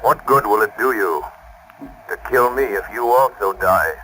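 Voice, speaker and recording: An unseen, fearless crime-fighter in a 1930s radio serial. His voice is deep and piercingly forceful, with dramatic swings of pitch. He is stern and declamatory, in clipped commanding lines. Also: expressive, normal speed. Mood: guarded, firm. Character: invisible male avenger, stern, commanding, fearless mystery man. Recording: noisy old 1930s radio recording.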